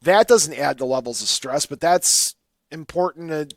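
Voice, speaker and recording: A man's voice: a little high, lively intonation, normal speed, dry recording, studio quality. A man hosting a casual call-in radio talk show, bantering and emphatic, often interrupting himself. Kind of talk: casual call-in show chat about the paranormal.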